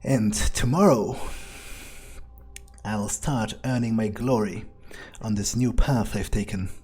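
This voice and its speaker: A man's voice: lowish voice, slow pace, warm tone, husky. A man playing a cynical, menacing vampire who muses aloud in a brooding, profane way, as in-character game roleplay. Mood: musing. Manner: brooding, hesitant, profane musing. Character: cynical, menacing male vampire talking through his thoughts. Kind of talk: in-character gameplay roleplay monologue.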